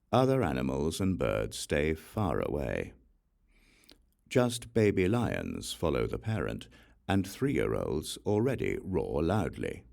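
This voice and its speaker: A man reading an audiobook of sacred verse in a solemn, deliberate recitation with measured phrasing and pauses. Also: a bass voice, creaky, a little mellow. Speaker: audiobook reader.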